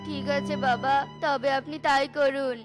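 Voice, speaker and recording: A woman's voice: sits high, fairly even intonation, measured pace, music in the background. A woman voicing an eager, trusting young bird who leads the flock, earnest and pleading.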